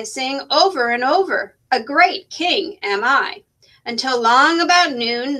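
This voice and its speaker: A woman reading a rhyming children's story aloud as a teacher would, with expressive narration. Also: high voice.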